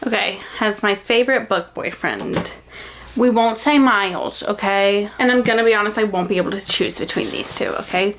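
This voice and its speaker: A woman's voice: mid-range voice, moderate pace, rough recording. Young female book vlogger, casual and effusive, emphatic delivery, mid-range voice, noticeable noise behind her. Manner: animated, gushing, dramatic emphasis. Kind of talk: casual chatty book recommendation vlog.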